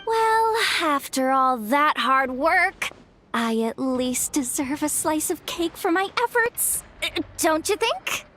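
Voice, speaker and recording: High-voiced young game heroine. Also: female voice, sing-song, slow, a bit bright, slight background noise, heavily acted. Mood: casual, wry. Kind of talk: video game voice acting.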